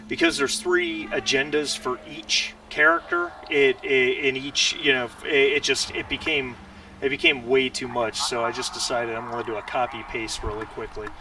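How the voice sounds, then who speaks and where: Man, game master, bright voice, mid pitch, casual hesitant explaining, distant noisy mic. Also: huge pitch swings, moderate pace, gravelly. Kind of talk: casual tabletop game refereeing. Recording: distant mic with faint noise.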